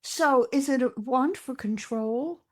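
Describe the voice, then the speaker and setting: An older woman speaks as a psychologist guest on a podcast at a slow, unhurried pace. She is earnest and reflective, and she gently makes her points as she talks. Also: high-pitched. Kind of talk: interview conversation.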